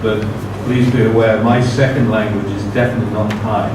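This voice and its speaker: A man's voice: on the low side, level tone. A man giving a formal talk as guest speaker at a university seminar, speaking carefully with many "uh" fillers. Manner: measured, careful delivery with frequent "uh" fillers. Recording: very echoey room, distant mic, background noise.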